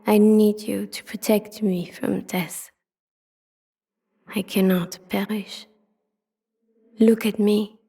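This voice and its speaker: A woman voicing an ancient, solemn city spirit in a video game, intimate and prophetic, in a grave breathy whisper. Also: mid-range voice, level tone, dark tone, exaggerated voice. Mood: grave, urgent. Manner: slow, incantatory, grave. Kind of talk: scripted video game voice acting. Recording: long cavernous reverb.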